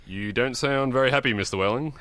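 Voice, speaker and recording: A man's voice: medium pitch, a little fast, a bit bright, no echo, natural voice. A man acting a genial, patronizing doctor who lectures in an audio drama. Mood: cordial. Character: affable, lecturing male doctor, centuries old yet youthful. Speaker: actor.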